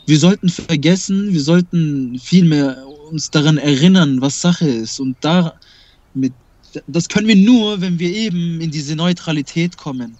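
A man's voice: fairly high pitch, measured pace, darkish tone, no room sound, highs cut off. A man whose first language is Kurdish, talking emphatically and repeating himself in a casual spiritual conversation.

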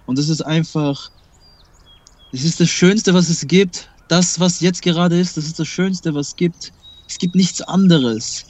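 Man, Kurdish native speaker, emphatic, repetitive, casual swearing, slightly raised pitch. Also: unhurried, darkish tone. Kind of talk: casual spiritual conversation.

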